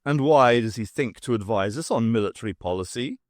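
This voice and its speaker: A growly old pantomime king, full of bluster and sentiment, played by a male narrator. His grandiose delivery swings widely in pitch, in a dry storybook recording. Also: lively intonation, a little fast, no room sound, lightly acted. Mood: gruff.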